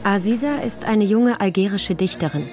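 A woman's voice: medium pitch, moderate pace, darkish tone, faint music behind. A woman reading scripted narration as a radio documentary narrator.

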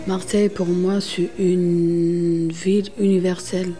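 A woman's voice: lowish voice, flat delivery, unhurried, dark tone. A young woman from Algeria, a poet, answering spontaneously in an interview. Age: young adult. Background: music.

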